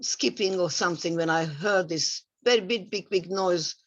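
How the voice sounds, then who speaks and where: Low-voiced elderly Hungarian woman giving testimony. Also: normal speed. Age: 80s. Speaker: Holocaust survivor giving testimony. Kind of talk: personal spoken testimony. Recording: dull, muffled lo-fi sound.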